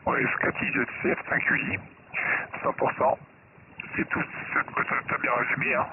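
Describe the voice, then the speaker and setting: A man giving an improvised technical explanation over an amateur radio link, halting and repeating himself as he searches for words, in a gravelly voice. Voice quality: gravelly, creaky. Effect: ham radio satellite link. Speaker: amateur radio operator explaining his software. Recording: noisy narrow-band radio transmission.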